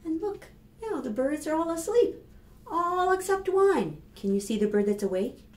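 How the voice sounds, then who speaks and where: A woman in her fifties reads a picture book aloud to preschoolers, expressive and instructive, addressing the children directly. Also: very high-pitched, normal speed. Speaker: children's storytime librarian. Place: small plain room indoors. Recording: roomy echo, faint background noise.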